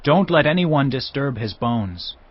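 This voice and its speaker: A man's voice: medium pitch, expressive, unhurried. An actor playing King Josiah, a commanding king giving orders, in a dramatized audio Bible. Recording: dull lo-fi with music underneath.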